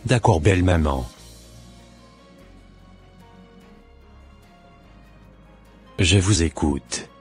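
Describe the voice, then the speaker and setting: A man playing a remorseful, lonely husband in a scripted cartoon drama, speaking in an earnest, deliberate way. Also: low-pitched, a little mellow, breathy edge, soft background music. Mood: earnest, respectful. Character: remorseful, lonely husband swallowing his pride. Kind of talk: scripted animated drama monologue and dialogue.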